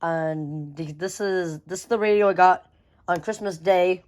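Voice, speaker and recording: A young hobbyist talks through a collection in an informal, unscripted vlog style. The pitch sits in a middle range, and the wording is slangy, with repeated phrases and occasional mild swearing. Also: unhurried, background noise. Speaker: vlogger showing a weather radio collection. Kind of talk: casual hobby show-and-tell, unscripted.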